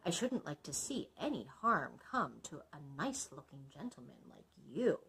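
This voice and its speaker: A woman's voice: deep voice, sing-song, moderate pace, vocal fry, fairly bright tone, voice pushed down, full character voice. A woman voicing a polite, crafty male blacksmith and father in a storybook, deferential and matter-of-fact. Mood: polite, coaxing.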